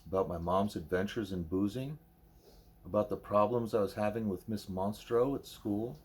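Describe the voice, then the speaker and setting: A man's voice: fairly low pitch, moderate pace. A man reading a first-person novel aloud as scripted audiobook narration.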